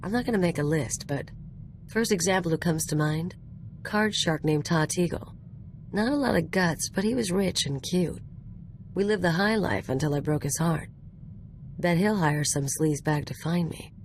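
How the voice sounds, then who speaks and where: Woman playing a cocky, low-voiced female rogue. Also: moderate pace, a little hiss or hum, lightly acted. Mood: cocky, teasing. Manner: casual, teasing, swaggering. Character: cocky, flirtatious female rogue with a shady past. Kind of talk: video game voice acting.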